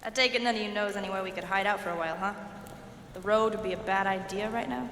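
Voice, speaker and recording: A woman's voice: mid-range voice, fairly even intonation, fast talker, a bit bright, close to an everyday voice. An actress playing a tough, foul-mouthed female thief leader in an audio drama, sarcastic and brash. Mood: hard-boiled. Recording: roomy hall reverb, faint noise.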